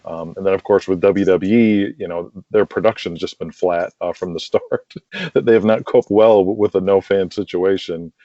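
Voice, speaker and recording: A man speaking as a guest in a casual conversational interview, chatty and quick to laugh, on muffled lo-fi audio. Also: low voice, steady tone, mellow, no echo. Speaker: podcast guest, wrestling promoter.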